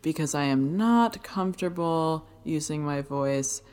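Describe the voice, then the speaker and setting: A woman's voice: animated, a little slow, a little mellow, smooth. A woman co-hosting a podcast, who teaches fitness, talking in a rambling casual way with many fillers. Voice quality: low voice.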